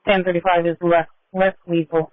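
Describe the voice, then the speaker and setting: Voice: medium pitch, flat delivery, moderate pace, no room sound, narrow, tinny audio. A police officer on scene giving terse tactical updates over a scanner radio in a businesslike manner with clipped call signs. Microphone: two-way radio.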